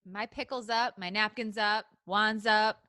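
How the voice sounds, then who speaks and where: A woman's voice: medium pitch, steady tone, a little slow, pushed and bright, smooth, no room sound, clean studio sound. A woman co-hosting a casual live podcast in a playful, enthusiastic way. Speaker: podcast co-host.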